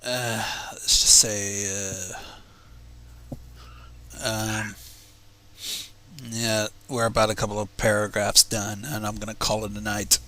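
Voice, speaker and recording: A man's voice: fairly low pitch, slow, long pauses. A man hosting a livestream fan fiction reading, narrating expressively and breaking off into casual, exasperated commentary. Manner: expressive, exasperated asides, swearing.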